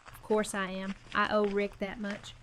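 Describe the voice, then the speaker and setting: An actress as a determined, loyal woman in an audio drama. She is plain-spoken and candid, with a mid-pitched voice and faint noise in the background. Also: monotone, moderate pace, close to an everyday voice. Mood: worried, candid.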